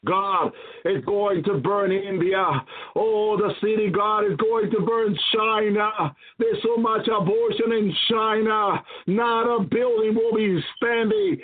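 Male preacher, very high pitch, fervent repetitive exhortation, tinny phone-like radio audio. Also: steady tone, slow pace. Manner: emphatic, repetitive, exclaiming preacher's delivery. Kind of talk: fervent apocalyptic sermon. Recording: thin phone-like radio sound.

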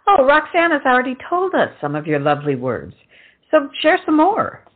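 A woman playing a very animated, gossipy young friend. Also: high-pitched, moderate pace, full character voice. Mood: teasing, coaxing. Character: flighty, gossipy, man-admiring young lady friend. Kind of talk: stage comedy acting. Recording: tinny, narrow-band sound.

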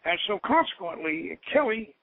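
A man hosting a radio show, explaining things informally in a halting, rambling, scholarly storyteller's way over a tinny, narrow phone-like line. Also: high-pitched, sing-song, vocal fry. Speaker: host of an occult radio show.

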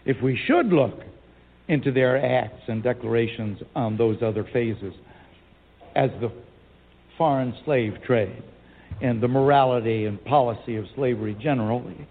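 A man delivering a formal, old-fashioned political speech in a deliberate, rhetorical manner with measured phrasing. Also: medium pitch. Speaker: speaker reciting a historical speech. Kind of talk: formal oratory, reading a 19th-century political speech. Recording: phone-like narrow sound, hall reverb.

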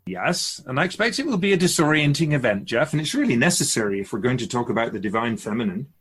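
Man acting immortal spirit guide, husky, serene, formal, measured, instructive, radio drama. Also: mid-range voice, sing-song, fairly bright tone. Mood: calm, instructive. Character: male-voiced immortal spirit keeper of souls, serene and instructive.

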